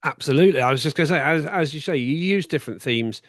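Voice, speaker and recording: A man's voice: on the high side, lively intonation, fast talker, no echo, studio quality. A man hosting a podcast, speaking in a conversational interview style.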